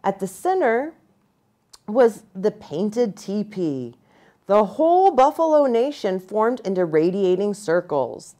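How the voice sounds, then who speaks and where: Slow, expressive American woman reading a storybook. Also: medium pitch. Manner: expressive, deliberate. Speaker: pastor reading to children. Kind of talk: children's storybook narration. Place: small furnished room, indoors.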